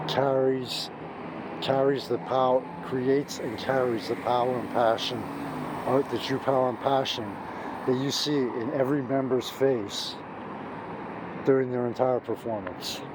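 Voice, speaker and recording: A hoarse middle-aged man giving an emphatic, gushing music review, recorded outdoors on a city street at night, restarting his sentences often. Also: mid-range voice, fairly even intonation, a little slow, pauses often. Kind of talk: off-the-cuff video review. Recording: street noise and traffic behind.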